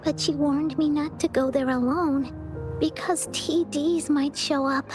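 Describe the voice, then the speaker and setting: A woman voicing a timid, earnest small child in a video game, speaking in a hesitant, halting way. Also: high-pitched, steady tone, over music. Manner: hesitant, halting, soft-hearted. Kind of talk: video game character acting.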